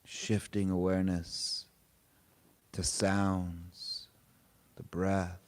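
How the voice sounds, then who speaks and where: A man leading a guided meditation, speaking in short, unhurried, gently informal phrases with pauses between them. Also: low voice, flat delivery. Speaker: meditation teacher. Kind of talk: guided meditation, spoken softly to a group.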